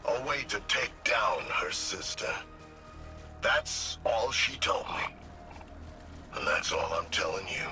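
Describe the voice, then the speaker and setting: A man's voice: sits low, lively intonation, slow, pauses often, music in the background, full character voice. An actor playing a cocky, sarcastic villain's henchman spirit in a video game, sneering and taunting. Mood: guarded. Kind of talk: scripted video game voice acting.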